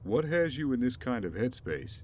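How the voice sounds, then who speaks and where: A man playing a steady, supportive ex-soldier sidekick in an audio drama, conversational and encouraging. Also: medium pitch, moderate pace, lightly acted. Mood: concerned encouragement. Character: steady, supportive ex-soldier, male partner of a vigilante. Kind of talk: scripted audio drama acting. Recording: background noise with ambience.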